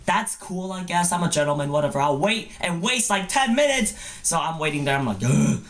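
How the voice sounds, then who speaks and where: Playful young man with very swoopy pitch. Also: lively intonation, moderate pace, fairly bright tone. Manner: playful, goofy, exaggerated mock-cute phrasing. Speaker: vlogger. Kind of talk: comedic personal storytelling vlog.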